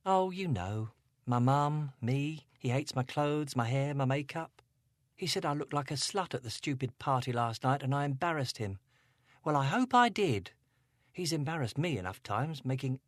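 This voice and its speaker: A man's voice: mid-range voice, animated, normal speed, no room sound, clean studio sound, voice pushed up, lightly acted. A man doing a sullen, shaken teenage girl. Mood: brightening.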